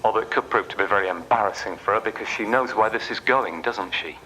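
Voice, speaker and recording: A man's voice: fairly low pitch, fast talker, sharp tone, slight background noise, rough recording. An actor playing a sardonic male train announcer who needles passengers with mocking, conversational patter in a radio play.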